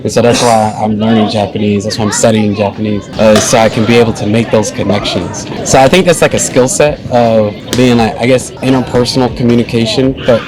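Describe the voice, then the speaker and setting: A man's voice: fairly low pitch, a little fast, hardly any pauses. Young american guy chatting loose, lots of restarts, music playing behind. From United States. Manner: relaxed, rambling with many restarts. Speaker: interviewee, filmmaker. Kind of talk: casual interview.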